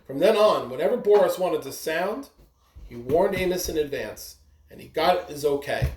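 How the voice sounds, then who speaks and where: A reader giving an expressive read-aloud of a children's story. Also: medium pitch, normal speed, crowd noise. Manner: expressive, with brief asides to listeners. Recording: roomy echo, clear background noise.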